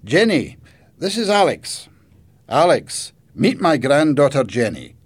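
A man voicing a kindly older grandfather in a storybook, proud and a little sad, speaking in an emphatic, deliberate way. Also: a little high, very animated, slightly rough, voice pushed up, full character voice.

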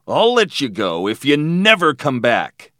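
A man voicing a menacing, drunk, thin villain who taunts and shouts threats in a story. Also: medium pitch, huge pitch swings, a little slow, dry recording, clean studio sound, voice pushed up. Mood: menacing, taunting.

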